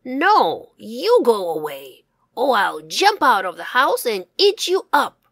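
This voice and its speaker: A woman voicing a bossy, sly female fox in a sharp, commanding storybook manner. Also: a little high, wildly swooping pitch, slow, dry recording, voice pushed up, exaggerated voice. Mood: threatening.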